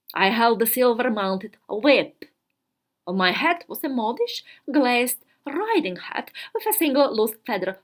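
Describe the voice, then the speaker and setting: A woman giving a dramatic literary reading of a diary, theatrical and deliberate, pausing between short phrases. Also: a little high, fairly bright tone. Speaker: reader of a literary story.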